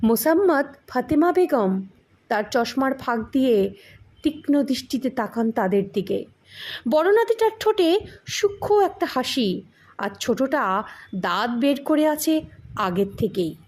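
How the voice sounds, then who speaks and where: A woman narrates an audio story in a high voice that swings widely in pitch. She reads slowly and dramatically, giving the scripted prose plenty of expression. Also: big pitch swings, darkish tone.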